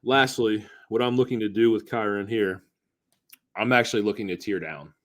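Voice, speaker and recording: A man's voice: on the low side, moderate pace. A man co-hosting a casual sports podcast, making his points emphatically and repeating them for stress. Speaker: podcast co-host.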